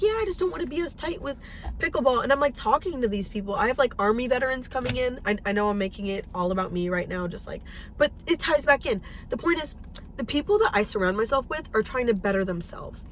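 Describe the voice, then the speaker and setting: A woman in her twenties chatting casually and emphatically while driving, blunt and swearing freely. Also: on the high side. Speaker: fitness vlogger. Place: in a car. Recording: faint car noise behind.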